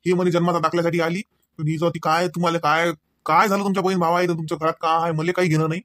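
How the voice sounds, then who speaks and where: A man's voice: on the high side, level tone, very fast, fairly bright tone, dry recording, clean studio sound, natural voice. A man playing a proud, angry village husband in a comedy cartoon, scolding in a Varhadi Marathi accent. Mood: firm, proud. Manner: scolding, firing off rhetorical questions. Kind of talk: amateur comedy cartoon dialogue.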